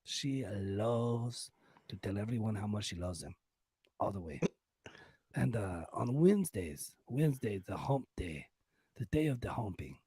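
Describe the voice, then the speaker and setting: A man plays a sultry Latin lover. His voice is husky and breathy, sometimes dropping to a whisper, and it swings playfully up and down as he haltingly flirts through broken English in a close, dry podcast sound. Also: fairly low pitch, animated, mellow, no room sound, pitched below the performer's own voice, exaggerated voice. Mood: seductive, playful. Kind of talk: comic podcast sign-off in a put-on voice.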